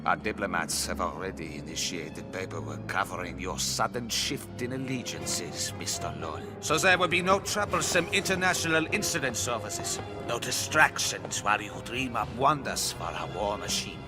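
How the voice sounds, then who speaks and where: Man playing suave, menacing military villain, animated delivery. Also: fairly low pitch, normal speed, few pauses, over music, natural voice. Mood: cold threatening. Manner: mock-courteous, formal, commanding. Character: suave, menacing male Nazi colonel and airman, villain. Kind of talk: audio drama acting.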